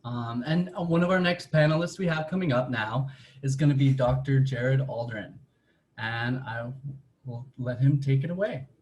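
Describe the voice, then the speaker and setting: A man moderating an online panel, thanking one speaker and gently introducing the next. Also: medium pitch, normal speed, muffled recording, a little hiss or hum.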